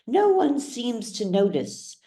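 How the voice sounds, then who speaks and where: A woman reading literary fiction aloud as a narrator, with measured, dramatic storytelling. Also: a little high, wildly swooping pitch, warm tone. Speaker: author reading their own novel. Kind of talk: scripted audiobook narration.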